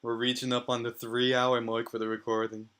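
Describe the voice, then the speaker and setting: A man co-hosting a casual online chat, calmly steering the group along. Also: mid-range voice, brisk, clear, resonant tone. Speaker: podcast co-host.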